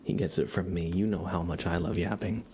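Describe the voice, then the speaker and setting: A man hosting a casual ASMR livestream, chatting and reading cards in a rambling, soft-spoken way that drifts into whispering. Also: low-pitched, steady tone, a little fast. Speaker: livestream host. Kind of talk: casual live ASMR chat with tarot card readings. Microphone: headset. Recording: clear background noise.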